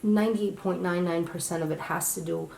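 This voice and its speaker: Gravelly, halting woman in an echoey room. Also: on the low side, steady tone, a little mellow, slight background noise. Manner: halting, restarts sentences, searching for words. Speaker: co-host. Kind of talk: casual chat.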